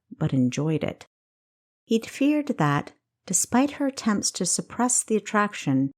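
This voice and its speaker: A woman's voice: lowish voice, a little mellow. A woman reading her own novel as scripted, dramatic audiobook narration.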